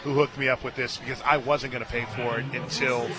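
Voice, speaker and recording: A man doing live radio play-by-play of softball, mixing excitable calls with chatty asides. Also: medium pitch, fast. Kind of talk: live softball commentary mixed with casual chat. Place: stadium radio booth.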